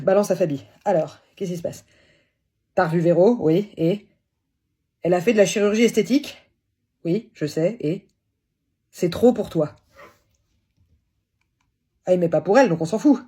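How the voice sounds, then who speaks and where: A woman's voice: fairly low pitch, close to an everyday voice. A woman voicing a brash, crude middle-aged aunt from the provinces, ranting and teasing down the phone in a comedy sketch. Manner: loud, crude, teasing, one-sided phone rant.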